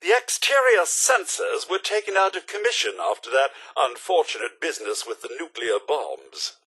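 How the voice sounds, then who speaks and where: A man's voice: normal speed, slightly rough, exaggerated voice. A male actor voicing a prim, fussy robot butler for a video game, with a plummy British accent and a theatrical, over-polite manner. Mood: polite, wistful. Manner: plummy, over-polite, exclamatory, highly animated.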